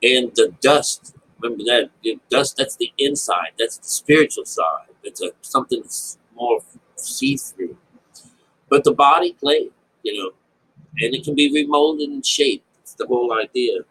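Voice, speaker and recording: A man with a husky voice giving informal, unscripted Bible commentary, rambling, repeating phrases and checking in with his listeners. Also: mid-range voice, unhurried, fairly bright tone, slight background noise, poor audio. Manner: rambling explanation with frequent check-ins like "all right?" and "okay?". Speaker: Bible teacher.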